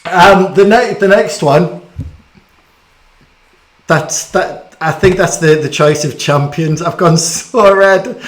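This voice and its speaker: A man's voice: high voice, slow, pauses often. A man hosting a casual online interview, chatty and self-deprecating, stumbling over his words as he goes. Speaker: interviewer hosting a school bedtime story chat. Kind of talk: casual online question-and-answer chat. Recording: echoey room sound.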